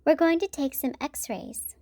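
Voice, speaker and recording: A woman's voice: sits high, animated, a little slow, warm tone, no room sound, clean studio sound, voice pushed up. A woman voicing a kindly female bear doctor in a children's storybook, explaining things reassuringly. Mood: gentle explaining.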